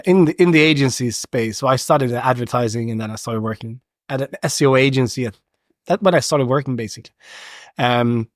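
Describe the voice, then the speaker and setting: A man's voice: medium pitch, no echo. Man from Sweden, close dry studio sound. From Stockholm, Sweden. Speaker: podcast guest, agency founder. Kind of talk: casual interview conversation. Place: podcast studio.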